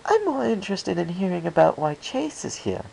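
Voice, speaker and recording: A man's voice: sits high, very animated, normal speed, gravelly, a little mellow, a little hiss or hum, pitched above the performer's own voice, full character voice, low-quality recording. A man voicing a flirtatious, refined female storybook pony with an airy, affected, purring manner. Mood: impatient, curious. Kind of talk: storybook character voice in fan fiction reading.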